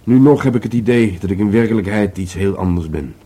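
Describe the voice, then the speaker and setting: Low, husky, musing police detective. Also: male voice, lively intonation, fast, dark tone. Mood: wry, reflective. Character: musing, wry male police detective, philosophical and intimate. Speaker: actor in a radio play. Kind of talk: Dutch radio drama dialogue.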